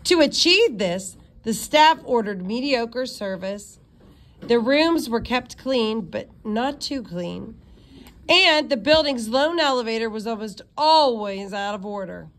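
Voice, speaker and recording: Lady reading a kids book out loud, bit high voice, expressive, trips on words sometimes. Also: takes it slowly. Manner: expressive storytelling, stumbles and re-reads words. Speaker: read-aloud narrator. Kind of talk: reading a children's novel aloud.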